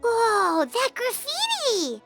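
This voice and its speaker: A woman voicing a tiny, childlike fairy girl companion in a video game, squeaky, perky and earnest. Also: huge pitch swings, very slow, exaggerated voice. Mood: amazed. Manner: perky, refers to herself in third person. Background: background music.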